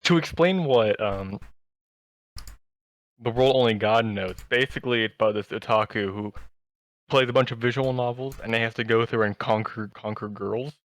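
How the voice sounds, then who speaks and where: A man chats as a podcast co-host in a close, dry recording. His medium-pitched voice rambles through stories with plenty of enthusiasm, in loose everyday phrasing. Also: normal speed, no echo. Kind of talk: casual chat about anime.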